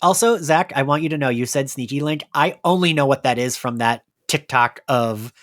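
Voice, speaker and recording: A man hosting a casual recap chat, telling the story in an animated, rambling way. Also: mid-range voice, moderate pace. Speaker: podcast host.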